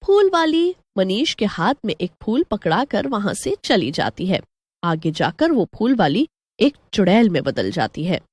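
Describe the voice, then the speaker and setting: Woman storyteller, animated pitch. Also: unhurried, dry recording. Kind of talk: scripted story narration.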